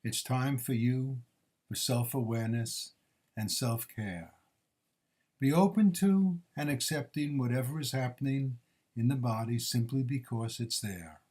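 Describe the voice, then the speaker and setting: Male meditation teacher, medium pitch, measured and gently instructive, short phrases with pauses, guided body scan delivery.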